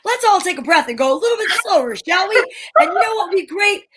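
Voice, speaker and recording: High-pitched woman hosting casually. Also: sing-song, quick pace, very noisy. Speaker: host of an amateur radio-play show. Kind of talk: casual chat.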